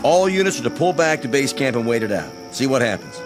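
Man playing army messenger, folksy, matter-of-fact, medium-pitched, music behind. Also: sing-song, fast talker, close to an everyday voice. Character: plain-spoken army messenger bringing orders. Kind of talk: radio drama acting.

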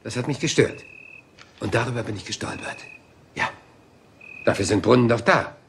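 An actor playing a dogged middle-aged police inspector in a radio crime drama, patient and musing, circling back to small details. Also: male voice, medium pitch, normal speed, vocal fry, a bit bright, a little hiss or hum. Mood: calm.

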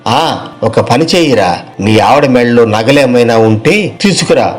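A man's voice: medium pitch, normal speed, close to an everyday voice. A man voicing a wealthy, boastful businessman in a moral story, effusive and familiar, with theatrical false sympathy. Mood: mock sympathy. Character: boastful, two-faced wealthy businessman friend, feigning sympathy.

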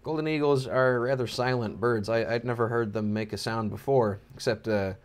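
A man's voice: mid-range voice, fairly even intonation, moderate pace. A young man giving informal, joking, educational commentary over wildlife footage, with an enthusiastic manner. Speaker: amateur birder narrating wildlife footage.

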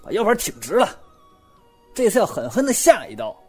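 Lively, bossy young businessman, played by a man. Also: high-pitched, normal speed, faint music behind. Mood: brisk, insistent. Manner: animated, coaching. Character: shrewd, bossy, lively young businessman, soft-hearted underneath. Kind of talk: Chinese audio drama acting.

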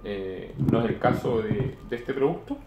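A man's voice: mid-range voice, lively intonation, normal speed, highs cut off. A man in his forties reviewing beer in casual chat, explaining at length with many fillers, recorded from a camera across a home room. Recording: echoey room with faint noise.

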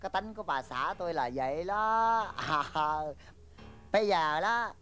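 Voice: medium pitch, measured pace, fairly bright tone, soft background music, natural voice. A performer playing a meek, evasive husband in a comic sketch, stammering and placating in Chinese-accented Southern Vietnamese. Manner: stammering, repeating words, placating. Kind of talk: comic stage sketch acting.

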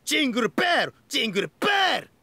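A man's voice: very high-pitched, big pitch swings, breathy edge, no echo, exaggerated voice. A man voicing a hyperactive, goofy, cheerful young man in an anime mobile game, with exaggerated delivery and abrupt bursts. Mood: manic, excited. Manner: manic, shouting, drawn-out yells, abrupt bursts.

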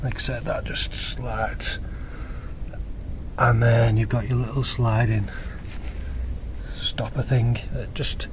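A man, casually explaining his fishing rig in a relaxed, plain-spoken vlog, recorded outdoors by a lake on a camera mic. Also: medium pitch, slow, long pauses, noisy background. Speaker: angler showing a fishing rig.

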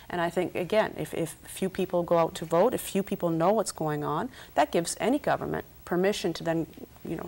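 A woman's voice: fairly low pitch, darkish tone. A middle-aged woman from Canada, a politician guest on a TV studio panel, speaking earnestly and often repeating words as she thinks. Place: TV studio set, indoors.

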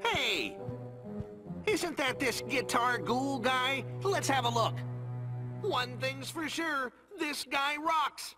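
An actor playing a cowardly, goofy young slacker sleuth in a cartoon video game, whiny and nervous. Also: male voice, very high-pitched, expressive, slow, over music, full character voice.

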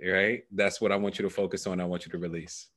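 A man in his thirties coaching a client over a headset, giving measured guided instructions. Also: low voice, level tone, a bit bright. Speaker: coach guiding a client through a meditation process. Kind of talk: guided meditation and coaching conversation. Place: small indoor room, video call. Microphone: headset microphone.

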